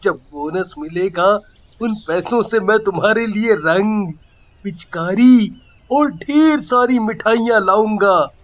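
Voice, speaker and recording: A man's voice: high-pitched, expressive, takes it slowly. A man voicing a poor, humble and anxious father in an audio story, with a sorrowful, pleading manner. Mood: affectionate. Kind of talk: dramatised Hindi audio story.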